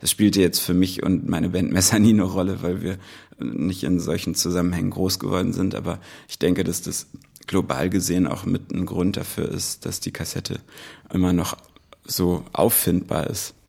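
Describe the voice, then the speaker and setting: A man gives spontaneous interview answers in a very low, creaky voice. His delivery stays flat as he explains his thoughts as a musician. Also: normal speed.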